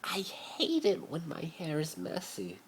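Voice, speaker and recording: Whiny, haughty teen boy, played by a man, lifted pitch, affected and snappy, noisy amateur story reading. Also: wildly swooping pitch, unhurried, full character voice. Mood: whining. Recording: noisy amateur phone recording.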